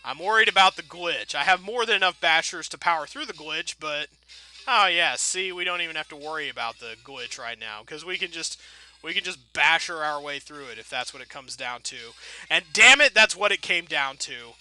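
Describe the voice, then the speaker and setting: A man's voice: on the high side, expressive, normal speed, sharp tone. A man giving casual, rambling gameplay commentary, thinking aloud in a wry, self-deprecating way with playfully folksy turns of phrase. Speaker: video game let's player.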